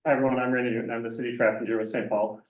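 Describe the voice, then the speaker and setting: A man working as a city traffic engineer, briskly introducing his colleagues at a public meeting, with many ums. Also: medium pitch, little pitch movement. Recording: dull, echoey room sound.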